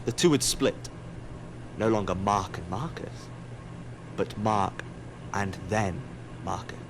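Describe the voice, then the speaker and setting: A man plays an all-seeing, ominous storyteller in a sci-fi audio drama. His voice is on the low side, sardonic and dramatic, with clear background noise humming behind. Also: slow pace. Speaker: narrator. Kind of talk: scripted audio drama narration.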